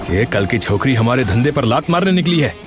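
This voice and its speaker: A man's voice: medium pitch, big pitch swings, brisk, over music, lightly acted. Man voicing a jealous, grumbling village shopkeeper. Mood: bitter, scheming. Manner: bitter, grumbling.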